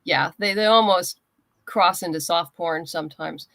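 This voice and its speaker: A woman guest in a casual interview, chatting in a halting way as she thinks aloud. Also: lowish voice, fairly bright tone, no echo. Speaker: interview guest, comics writer and creator.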